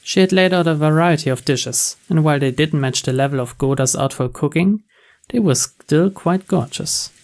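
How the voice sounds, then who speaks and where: A man's voice: a little high, moderate pace. A man reading a visual novel's story text aloud as scripted narration. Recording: music and rain behind.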